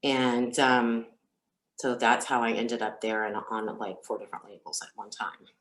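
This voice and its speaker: A woman who is a singer telling stories as an interview guest, speaking casually and candidly in a halting, reflective way. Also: extremely low pitch, flat delivery, slight background noise. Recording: roomy, echoey sound.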